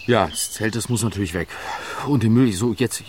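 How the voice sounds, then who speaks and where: An actor playing a bossy, coaxing husband in a German radio drama, halting and repetitive as he urgently smooths things over. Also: on the low side, a little mellow, slight background noise, lightly acted. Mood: anxious, hurried.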